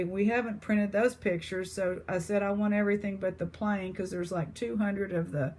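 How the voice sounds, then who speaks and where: A woman's voice: lowish voice, a little mellow, slight background noise. A woman with an East Texas accent chatting casually to her viewers as an online seller. She rambles, with lots of fillers and restarts. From East Texas, USA.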